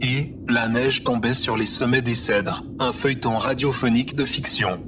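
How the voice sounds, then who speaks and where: A man voicing a literal-minded computer assistant in an audio drama, measured, formal and deadpan. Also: medium pitch, over music, natural voice. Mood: informative. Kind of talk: scripted audio drama, encyclopedic announcing.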